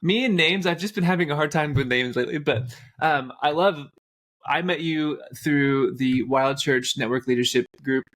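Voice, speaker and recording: A man's voice: a little high, moderate pace, fairly bright tone. A man co-hosting a faith podcast, introducing his guest in a friendly, self-deprecating, rambling way. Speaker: podcast co-host. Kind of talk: casual interview hosting.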